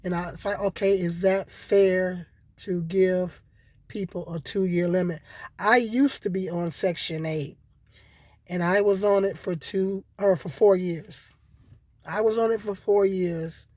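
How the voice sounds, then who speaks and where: Hoarse woman rambling to camera. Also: on the low side, fairly even intonation, a little slow, darkish tone, no echo. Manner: rambling, repeats herself, many fillers. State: hoarse from a cold. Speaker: vlogger. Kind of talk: casual solo commentary.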